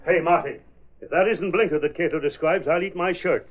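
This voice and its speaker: Blunt old-radio police detective. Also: male voice, on the high side, a little fast, husky, narrow, tinny audio. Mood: brisk, authoritative. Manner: slangy, blunt, commanding. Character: gruff American male police detective in command. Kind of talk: 1930s-40s radio drama acting. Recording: thin, noisy vintage radio recording.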